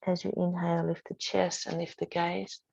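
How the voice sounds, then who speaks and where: A woman yoga instructor leading a guided relaxation practice in a soothing, unhurried manner, with gentle pauses between short cues. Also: on the low side, flat delivery, creaky, muffled recording.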